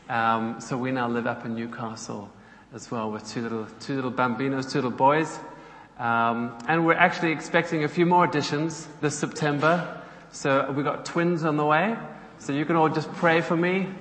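A man's voice: mid-range voice, fairly bright tone, a little hiss or hum. South African man in his thirties, friendly guest preacher, roomy church sound. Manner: chatty, engages the audience with questions. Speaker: guest speaker from a charity. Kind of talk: church talk to a congregation. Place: church hall. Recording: echoey hall, faint ambience.

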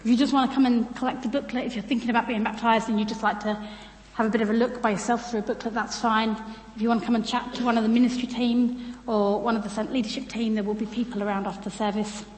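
A woman's voice: on the high side, little pitch movement, fast talker, darkish tone. A British woman giving an informal, chatty church talk in a self-deprecating way. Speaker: church speaker presenting on baptism. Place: large church room, speaking to a congregation. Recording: echoey hall with long reverb.

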